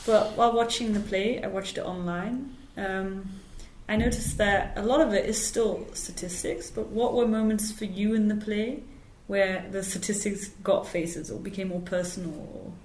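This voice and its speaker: A woman's voice: mid-range voice, fairly even intonation, moderate pace, hardly any pauses, a little mellow. A woman, a student interviewer, asking probing follow-up questions and checking her understanding. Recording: echoey room with faint noise.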